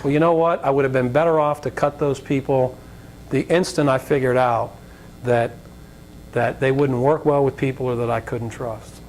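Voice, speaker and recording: An American man with a slightly raspy voice giving an informal, conversational talk as a guest speaker, telling anecdotes, joking and drifting into tangents. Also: fairly even intonation, moderate pace, a little mellow, poor audio. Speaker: guest speaker, business leader. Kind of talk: informal lecture with anecdotes and audience questions. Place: college lecture event before an audience. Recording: rumbly live talk with background noise.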